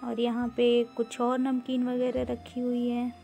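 A woman giving an unhurried, casual walkthrough of a grocery store, filming on a handheld phone and mixing English words into her talk with frequent "uh" fillers. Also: on the high side, completely flat delivery, vocal fry, warm tone, soft background music. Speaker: vlogger touring a grocery store.

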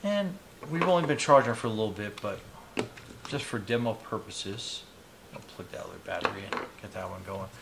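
A man in his fifties giving a casual, unhurried product demonstration, explaining each step informally as he goes. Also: on the low side, expressive. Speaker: product reviewer demonstrating gadgets on his channel. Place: small indoor hobby room at a desk. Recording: clear background noise, clicks of handled items.

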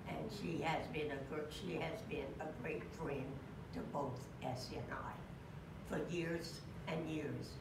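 A woman's voice: low voice, steady tone, creaky. An older woman giving a memorial tribute to a classmate from a church pulpit, storytelling with chuckles. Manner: slow, warm storytelling with chuckles. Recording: echoey church, distant mic, room noise.

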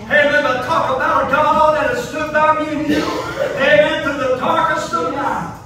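A man's voice: extremely high pitch, fairly even intonation, normal speed, crowd noise. A middle-aged man preaching a revival sermon in a small church, peppering every phrase with amen and hallelujah and rising into a sung chant. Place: small wood-paneled church, indoors. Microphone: far phone camera in the pews. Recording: echoey room from far off, noisy.

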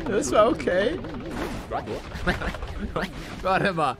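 Animated high-pitched young man. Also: extremely slow. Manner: animated, slangy, youthful. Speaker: gaming YouTuber. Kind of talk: excitable casual gaming reaction commentary. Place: small indoor gaming room. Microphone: close desk microphone.

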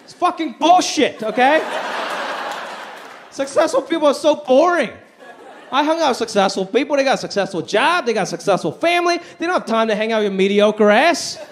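A man in his thirties from Hong Kong performing a stand-up comedy set on a theater stage into a handheld mic, animated and swinging his pitch for punchlines. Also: fairly quick. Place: large theater stage with live audience. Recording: live show with faint crowd and room noise.

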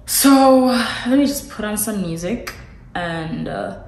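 A woman's voice: medium pitch, animated, slow, a little mellow. A young woman chatting casually to her vlog audience, rambling informally and repeating phrases for emphasis. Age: young adult. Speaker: student vlogger.

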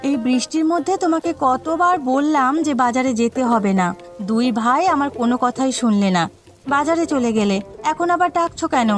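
A woman's voice: high voice, expressive, fairly quick. A woman voicing a nagging, thrifty village housewife in a cartoon, with music behind her. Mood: scolding. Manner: scolding. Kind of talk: cartoon dialogue acting. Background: background music.

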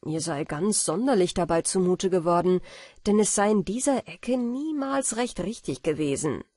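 A woman narrating a bedtime fairy tale audiobook in a gentle, measured storytelling manner. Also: lowish voice, expressive, dry recording, clean studio sound.

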